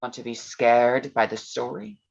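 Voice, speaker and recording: A woman's voice: very deep voice, animated, unhurried, creaky, dull audio, full character voice. A woman playing Mary Shelley as an intense, teasing storyteller in a staged reading, pointed and suspenseful. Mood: sharp, defiant. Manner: suspenseful, pointed, shifting into hushed tones. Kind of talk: dramatic staged reading of a gothic tale.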